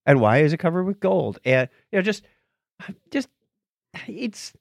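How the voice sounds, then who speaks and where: A man co-hosting a podcast in casual conversation, animated, often stammering and restarting his phrases. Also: on the high side, measured pace, vocal fry, no room sound, studio quality. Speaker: podcast co-host.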